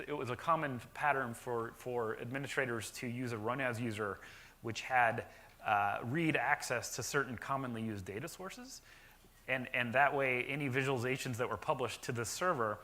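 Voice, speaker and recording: A man giving a conference talk about software deployment to a live audience, explaining with frequent fillers and restarts and a naturally loud voice. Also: medium pitch. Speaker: conference presenter. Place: conference session room.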